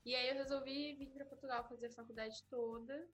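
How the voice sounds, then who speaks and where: Young woman, Brazilian accent, higher-pitched voice, casual chatty storyteller, podcast guest. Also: steady tone, brisk, fairly bright tone, lo-fi sound, faint music behind. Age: young adult.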